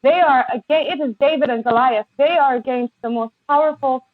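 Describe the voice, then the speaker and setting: A woman guest on a podcast, speaking with passion and many halting restarts over a remote call. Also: sits high, no echo. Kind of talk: impassioned conversation. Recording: tinny phone-like line, dry.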